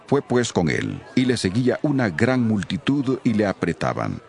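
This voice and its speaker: A man's voice: fairly low pitch, wildly swooping pitch, normal speed, gravelly, a little mellow. A man narrating scripture from a script as part of a dramatized audiobook.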